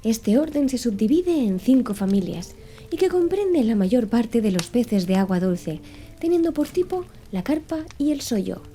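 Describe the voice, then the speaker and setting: A woman's voice: a little high, very animated, measured pace, warm tone. A woman voicing an earnest, pedantic man who lectures with didactic precision in an audiobook. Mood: didactic, patient. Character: Conseil, earnest, pedantic man reciting fish classifications. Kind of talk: audiobook character dialogue.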